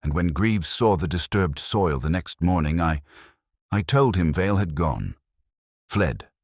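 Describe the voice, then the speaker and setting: A man voicing a weary, guilt-ridden elderly English magistrate in a story, confessing in halting, formal phrases. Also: deep voice, lively intonation, a little mellow, no room sound, studio quality, full character voice. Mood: guilty confession.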